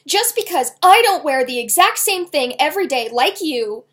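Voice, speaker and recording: A woman voicing a hot-tempered, argumentative eleven-year-old girl from a children's novel, loud and emphatic. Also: high voice, sing-song, normal speed, voice pushed up. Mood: shouting, indignant. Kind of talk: children's novel read aloud.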